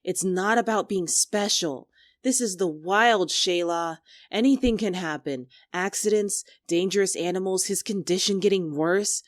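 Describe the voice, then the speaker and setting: A woman's voice: lowish voice, a little slow, no room sound, clean studio sound, lightly acted. A woman playing an earnest young woman in a dramatic argument, anxious but stubborn, pleading and defensive. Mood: worried, firm. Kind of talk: dramatic two-character argument performance.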